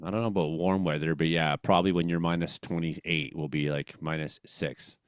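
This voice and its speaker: A man's voice: extremely low pitch, steady tone, fairly quick, clear, resonant tone, no room sound, studio quality. A man with a Western Canadian accent hosts a comedy weather show in casual, rambling, slangy chat, joking and exclaiming as he comments.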